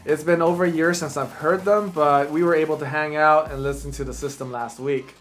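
A man's voice: on the high side, steady tone, brisk. A man in his forties presenting a scripted review to camera with an earnest, enthusiastic manner. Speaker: audio reviewer and video host. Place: small dark indoor studio, seated. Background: background music.

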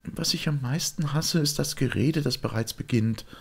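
Man voicing an indignant young woman, pitched way up. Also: normal speed, vocal fry, husky. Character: indignant, anxious young woman, soft-spoken. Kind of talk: audiobook character voice.

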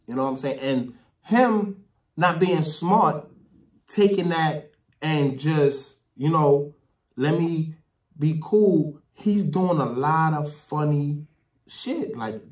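A young American man speaks as an online commentator in a casual, slangy way. His voice sits fairly high. It sounds roomy and a little distant from the microphone. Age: young adult. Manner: slangy, frequent "you know what I'm saying" tags. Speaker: YouTube commentator. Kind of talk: informal gossip commentary to camera. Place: small bare room indoors. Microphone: desk condenser mic with pop filter. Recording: roomy echo, distant from mic.

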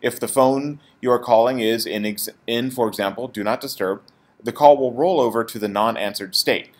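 A man's voice: medium pitch, moderate pace. A man presenting an informal, explanatory product tutorial as a support technician.

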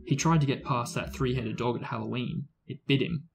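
Un uomo che fa un ragazzino serio e deciso. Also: male voice, medium pitch, steady tone, brisk, creaky, crowd noise, lightly acted. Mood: earnest.

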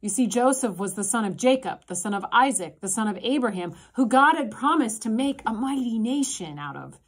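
A woman in her thirties, a pastor, telling a children's sermon in a gentle, simple storytelling way, recorded on a phone in a small room. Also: a little high, expressive, fairly quick, slightly rough. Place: small indoor room with a block wall. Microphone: phone camera at arm's length.

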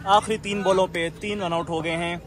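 Man doing lively amateur cricket commentary. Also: high-pitched, a little fast. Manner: excited commentary, rising on big shots. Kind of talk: live ball-by-ball commentary. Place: outdoor floodlit cricket ground, netted. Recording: crowd noise from an outdoor ground. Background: players and crowd chatter.

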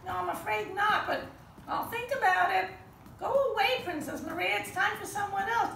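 A woman's voice: very high-pitched, moderate pace, gravelly, pushed and bright, heavily acted. A woman voicing a frank, bossy girl puppet in a children's show, with a didactic, blunt, exasperated manner. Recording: roomy, distant mic, faint noise.

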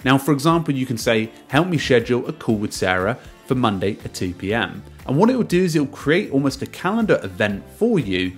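A man presenting a casual software review as a screen-share voice-over, explaining step by step, starting sentences with emphasis and trailing off at the ends. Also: medium pitch, big pitch swings, brisk, hardly any pauses, faint music behind.

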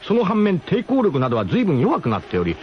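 Animated male radio narrator, muffled noisy recording. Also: high-pitched, brisk. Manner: mock-grandiose, emphatic. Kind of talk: dramatic comic first-person radio storytelling. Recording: muffled radio broadcast with clear background noise.

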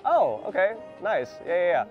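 A man's voice: high voice, big pitch swings, normal speed. A young man from the United States talking casually with a stranger in a mall clothing store, hesitant, repeating words and laughing nervously. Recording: slightly dull audio with faint music.

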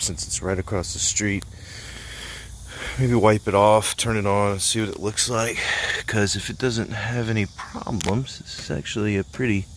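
An American man giving casual, folksy vlog commentary as the host of his own video. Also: lowish voice. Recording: clear background noise.

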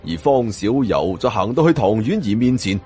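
A man's voice: lowish voice, very animated, moderate pace, dark tone, faint music behind. A man with a Cantonese accent narrating a scripted first-person story in a dramatic style. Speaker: audiobook narrator.

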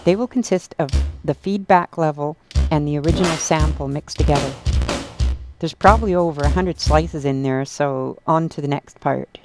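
A woman's voice: low-pitched, moderate pace. A woman demonstrator giving scripted instructional narration, with drum loops and glitchy effects behind her. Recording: loud drum loop music behind. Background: drum loops and glitchy synth effects.

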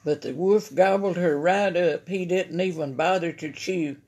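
A woman narrates a children's story aloud in a Southern American accent. Her reading is very unhurried, like a library story time. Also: on the low side, warm tone. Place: library room, indoors at a table.

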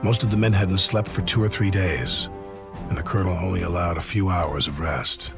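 Low, sober American soldier-narrator. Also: male voice, moderate pace, gravelly. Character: sober American army officer recounting a retreat. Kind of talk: scripted narration. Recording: music behind.

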